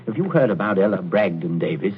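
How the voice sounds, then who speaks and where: An actor playing a bluff, chatty middle-aged gentleman captain with hearty, clipped manners, in a 1940s radio drama style. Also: sits low, lively intonation, fast talker. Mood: breezy, jovial. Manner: hearty, clipped, old-fashioned gentlemanly phrasing. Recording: muffled, noisy 1940s radio recording.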